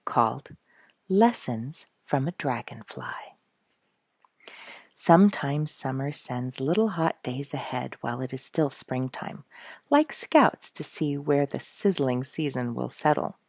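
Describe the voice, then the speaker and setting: A woman with a low, slightly creaky voice reads a story aloud. Her delivery is expressive and dramatic, like storybook narration, and the sound is close and dry. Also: dry recording. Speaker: storyteller reading a book chapter aloud.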